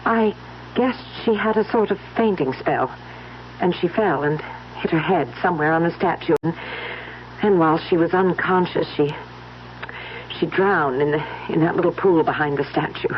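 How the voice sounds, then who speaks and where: An actress playing a gentle, weary young wife, hesitant and emotional in an old-time radio mystery. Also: female voice, fairly low pitch, moderate pace, close to an everyday voice. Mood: hesitant, sombre. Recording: dull, noisy old radio recording.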